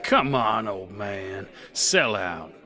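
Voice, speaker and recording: A man voicing a haunted veteran gunslinger who narrates his own tale, gruff and sardonic, in a western fantasy audio drama. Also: lowish voice, lively intonation, drawn-out words, bright, forceful tone, soft background music, exaggerated voice. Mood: world-weary. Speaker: narrator and lead.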